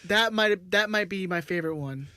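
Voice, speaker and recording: A man's voice: high-pitched, moderate pace, sharp tone, clean tone, no echo, clean studio sound. A man co-hosting a casual sports podcast, talking hesitantly with lots of fillers. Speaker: podcast co-host.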